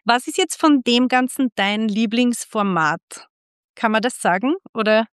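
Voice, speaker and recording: A middle-aged woman from Austria hosting a casual interview, chatty and quick to laugh, often restarting her sentences. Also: on the high side, clean tone, dry recording, clean studio sound. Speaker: podcast host and club founder.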